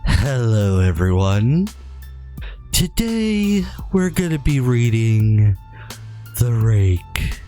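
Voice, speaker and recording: A man's voice: mid-range voice, very animated, drawn-out words, warm tone, soft background music. A man streamer casually reading a horror story aloud, stumbling now and then and adding wry asides. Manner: stumbles over text and corrects himself, wry joking asides. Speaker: streamer, VTuber host.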